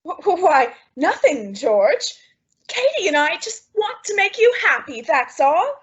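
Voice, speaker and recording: A melodramatic housewife played by a woman with a very high voice, effusive and doting. She speaks in the broad manner of an old radio comedy, at a brisk clip, on a slightly dull recording. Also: sing-song, heavily acted. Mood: sweetly fussing. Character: emotional, dramatic, doting young-ish housewife. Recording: dull, lo-fi audio drama recording.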